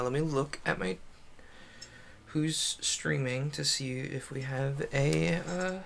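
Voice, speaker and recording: A male game streamer with a gravelly, bright voice talks off the cuff. He rambles in a halting, casual way and drops small self-deprecating asides, with faint noise behind him. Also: on the high side. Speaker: game livestreamer. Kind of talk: casual solo livestream chat.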